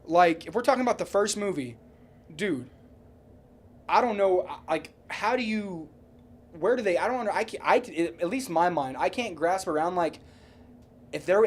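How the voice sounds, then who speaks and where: A high-voiced man argues casually, as on a podcast, over faint background noise. He repeats himself and restarts sentences as he pushes his point, swearing freely and speaking with emphasis. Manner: emphatic, repetitive, stumbling into restarts, frequent swearing. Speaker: podcast co-host. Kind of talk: casual argumentative chat about movies.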